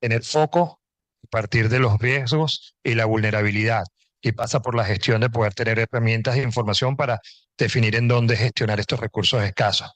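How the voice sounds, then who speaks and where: A man's voice: mid-range voice, steady tone, a little fast, a bit bright, no room sound. A man moderating a panel, articulate and posing long framing questions. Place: conference pavilion.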